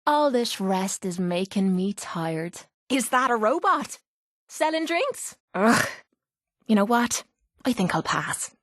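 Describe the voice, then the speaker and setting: A woman's voice: mid-range voice, very animated, takes it slowly, fairly bright tone, no room sound, studio quality. An actress playing a brash, sarcastic, hard-drinking young woman brawler in a video game, with an Irish accent and a blunt, mocking way of talking. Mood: dry, thirsty, then disgusted. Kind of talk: video game companion voice lines.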